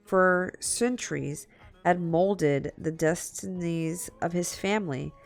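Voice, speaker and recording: A woman streamer reading a story aloud in a dramatic, halting way, slipping into casual asides. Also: lowish voice, gravelly. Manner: halting, repeats and corrects stumbled words.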